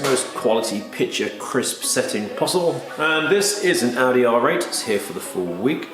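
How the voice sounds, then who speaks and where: A man's voice: mid-range voice, rough recording. A man with a cold, sounding nasal and congested, narrating his car detailing video in a methodical, explanatory way. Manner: methodical, explanatory, lists steps one by one. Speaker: car detailer and channel host. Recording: voice-over with loud music underneath.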